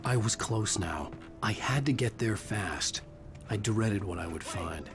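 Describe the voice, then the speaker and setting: Brooding, desperate thriller writer over music. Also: male voice, fairly low pitch, lively intonation, a little slow. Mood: tense, grim. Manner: brooding, weary first-person narration. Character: brooding, desperate male thriller writer hunting for his kidnapped wife. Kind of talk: scripted game narration and acted dialogue.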